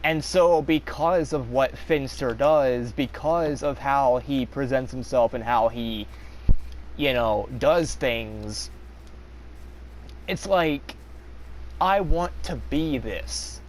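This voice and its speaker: A young man talks in a slow, unhurried, rambling way, full of fillers and restarts, with a slight rasp in his voice. His pitch sits in an ordinary middle range. Faint noise hums under the recording. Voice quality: slightly raspy when talking long. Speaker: vlogger. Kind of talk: casual confessional monologue.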